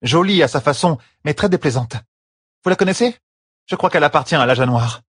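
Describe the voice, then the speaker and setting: A man's voice: on the high side, lively intonation, brisk, husky, dry recording, studio quality, voice pushed up. A man voicing a composed, assertive young noblewoman in an audiobook, speaking frankly and matter-of-factly.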